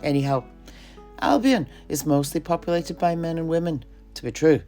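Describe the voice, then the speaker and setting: A man playing an alchemist storyteller, recounting fantasy legends of his homeland in a theatrical way with dramatic pauses. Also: fairly high pitch, expressive, darkish tone, faint music behind. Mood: dramatic storytelling. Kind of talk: in-character fantasy tale narration.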